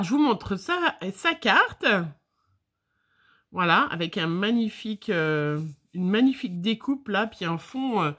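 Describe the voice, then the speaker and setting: Middle-aged french woman a bit stuffy from a cold, rambly and chatty, tons of ums, pitched a touch high. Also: fairly bright tone. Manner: chatty, lots of fillers and restarts, rambling. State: slightly congested cold. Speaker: scrapbooking vlogger. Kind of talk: casual chat, opening mail on camera.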